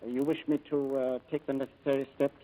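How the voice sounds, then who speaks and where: A measured, formal solicitor acted by a man in an old radio play. His voice is mid-pitched and dark, his delivery dry and deliberate. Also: level tone, lightly acted, rough recording. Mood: formal, businesslike. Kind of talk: 1940s radio acting.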